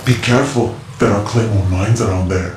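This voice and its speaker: An actor playing a cryptic, mysterious secret informant in a video game, terse and deliberate. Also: male voice, on the low side, lightly acted. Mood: cryptic, warning. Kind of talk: dramatic game voice acting. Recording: echoing voice, noise behind.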